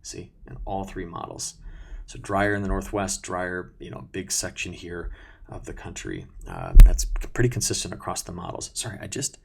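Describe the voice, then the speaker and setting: A man's voice: low-pitched, expressive, moderate pace, vocal fry, a little hiss or hum. A man presenting an unscripted weather briefing in a conversational, self-correcting way, talking viewers through maps as a forecaster. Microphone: new sensitive close mic at a desk.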